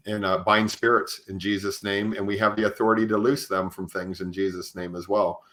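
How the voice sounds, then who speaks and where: A man hosting a conversational podcast, sharing anecdotes in a halting way with frequent "you know". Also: lowish voice, little pitch movement, fairly bright tone. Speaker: podcast host. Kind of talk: conversational interview with personal anecdotes.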